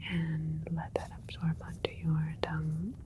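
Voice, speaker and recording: A woman playing a calm, clinical alien scientist in a sci-fi ASMR roleplay, speaking in a soft, breathy whisper with a gentle, methodical manner. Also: low-pitched, unhurried, noisy background. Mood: attentive, clinical. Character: calm, clinical alien scientist doctor examining their creation.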